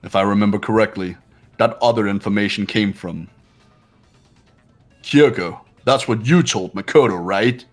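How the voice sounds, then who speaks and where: Man as a low-voiced haughty student detective. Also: close to an everyday voice. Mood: cool, analytical. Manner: haughty, deliberate, deductive. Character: stern, proud, analytical rich male high school student. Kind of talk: fan-dubbed video game character acting. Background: game music.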